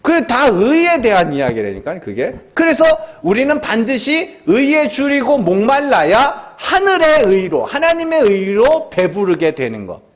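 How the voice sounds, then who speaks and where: Animated high-voiced man from Gyeongsang preaching. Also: unhurried, few pauses. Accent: Gyeongsang Korean. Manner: conversational preaching with rhetorical questions and prompts; emphatic, swooping delivery. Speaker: pastor. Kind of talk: Friday prayer meeting sermon.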